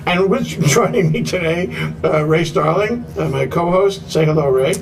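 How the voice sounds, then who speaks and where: A man's voice: a little high, normal speed, creaky, slight background noise. A man hosting a live talk show, joking and rambling with fillers.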